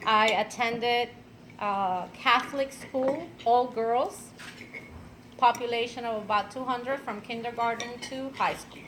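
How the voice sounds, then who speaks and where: A woman, telling her personal story at an event in an unhurried way with fillers and light laughs. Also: a little high, fairly even intonation, fairly bright tone, a little hiss or hum, rough recording. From Venezuela. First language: Spanish. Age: 50s. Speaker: speaker at an event. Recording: clattering dishes and cutlery behind.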